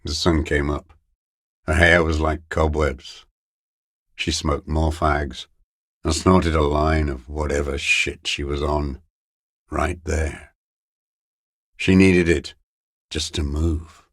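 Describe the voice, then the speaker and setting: A man playing a bitter, hateful old communist deserter in a video game, brooding and contemptuous, with a gravelly, raspy voice and halting delivery. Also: extremely low pitch, little pitch movement, no room sound, clean studio sound, exaggerated voice. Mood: obsessive, brooding. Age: older. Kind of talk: video game character monologue.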